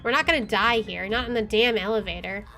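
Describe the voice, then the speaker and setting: A woman game streamer with an expressive, excitable delivery, reading aloud and commenting as she plays. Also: medium pitch, quick pace, vocal fry. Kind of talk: dramatic reading aloud and let's play commentary. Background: faint game music.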